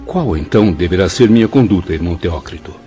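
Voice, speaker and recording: A man's voice: sits low, expressive, fast talker, warm tone. A Brazilian actor playing an earnest, idealistic male spirit disciple in a radio drama, with formal, reverent delivery. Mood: earnest, resolute. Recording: dull lo-fi audio with background music.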